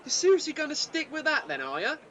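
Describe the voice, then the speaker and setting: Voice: sits high, huge pitch swings, fast, fairly bright tone, a little hiss or hum, exaggerated voice. An amateur actor with a British accent playing an indignant, sarcastic, accusing train passenger in a radio play. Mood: sceptical, accusing. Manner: sarcastic, scolding. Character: indignant, accusing, no-nonsense London Tube passenger.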